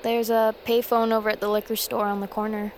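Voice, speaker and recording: High-voiced, guarded teenage girl played by a woman. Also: flat delivery, a little fast, a little hiss or hum, close to an everyday voice. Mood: wary. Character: wary, guarded teenage girl, easily spooked. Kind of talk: naturalistic audio drama acting.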